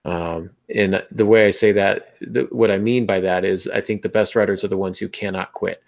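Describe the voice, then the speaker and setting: A man's voice: deep voice, fairly even intonation, normal speed, vocal fry, darkish tone. A man hosting a podcast, talking conversationally and encouragingly as he gives advice straight to the listener. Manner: encouraging, addresses listener directly. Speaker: podcast host.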